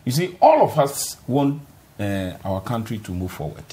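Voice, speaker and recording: A man's voice: mid-range voice, sing-song, moderate pace, warm tone. A man, an importer on a TV panel, who starts hesitantly and then argues with rising emphasis. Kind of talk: panel discussion.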